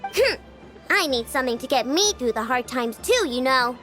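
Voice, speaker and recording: A bragging whiz-kid girl in a game. The voice is very high and bright, swinging widely with bratty excitement. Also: moderate pace, soft background music. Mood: cheeky, boastful. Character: cheeky, boastful, excitable young girl inventor. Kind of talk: video game voice acting.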